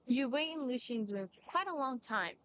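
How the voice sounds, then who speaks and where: A woman's voice: sits high, lively intonation, moderate pace, a bit bright. A woman streaming a video game, chatting casually and reading dialogue aloud over game music.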